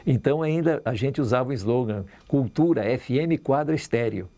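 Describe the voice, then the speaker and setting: A man's voice: medium pitch, lively intonation, normal speed, dark tone. A man with a Brazilian accent reminiscing informally in an interview, as a radio veteran.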